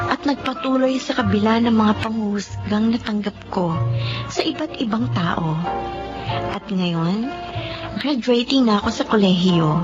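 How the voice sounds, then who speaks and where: An actress playing a tearful but resolute young woman student who narrates her own story in a radio drama, soft and earnest. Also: medium pitch. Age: young adult. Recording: dull lo-fi broadcast sound.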